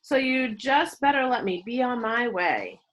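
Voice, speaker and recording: A woman reading aloud plays a cornered boy in a children's storybook. He is polite yet firm and quick-witted, speaking with deliberate control and very varied pitch. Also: animated, fairly bright tone. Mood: ominous warning.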